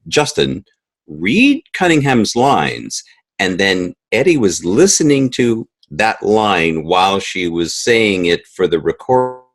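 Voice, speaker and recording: Very deep-voiced man explaining his work. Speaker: guest, audio drama creator and composer. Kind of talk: conversational interview answers.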